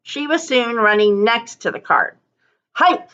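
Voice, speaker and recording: A woman reading a children's story aloud like a teacher, with deliberate, dramatic emphasis. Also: on the high side, lively intonation, fairly bright tone, highs cut off. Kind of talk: expressive children's story narration.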